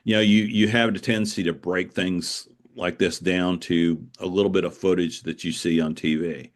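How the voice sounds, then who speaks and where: A man being interviewed as an author, telling stories in a reflective, conversational way, earnest and halting, repeating phrases for emphasis. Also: low voice, steady tone.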